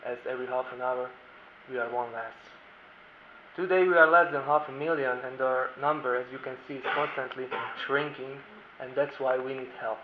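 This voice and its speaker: Formal lawyer presenting in an echoey room. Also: male voice, medium pitch. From Slovakia. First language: Hungarian. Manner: formal, reads from notes, careful non-native phrasing. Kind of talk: prepared conference presentation. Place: conference room. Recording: echoey room, dull sound, faint hiss.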